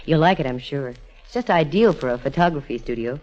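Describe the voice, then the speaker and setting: A woman's voice: low-pitched, animated, a little fast, darkish tone. An American actress playing a breezy, wisecracking, bossy but fond young female detective in vintage radio drama style. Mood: narrating, assured. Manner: wry, teasing, confident. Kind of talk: 1940s-50s radio detective drama acting and narration. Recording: dull, lo-fi old radio recording.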